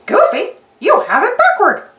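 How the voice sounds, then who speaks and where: A woman voicing a cheerful, sensible male cartoon mouse who talks earnestly and corrects gently. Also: extremely high pitch, huge pitch swings, unhurried, roomy sound, pitched above the performer's own voice, full character voice. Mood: upbeat, assured.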